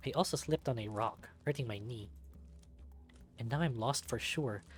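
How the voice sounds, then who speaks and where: A man reading a visual novel aloud as narrator, in an expressive, dramatic storybook style. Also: mid-range voice, moderate pace.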